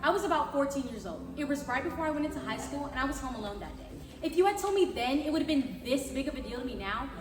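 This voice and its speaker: A woman's voice: high-pitched, animated, fast talker, hardly any pauses. A young woman playing an embarrassed teenage girl, earnestly confessing in readers theatre. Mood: embarrassed confession. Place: large carpeted conference room, far camera. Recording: echoey hall, distant microphone.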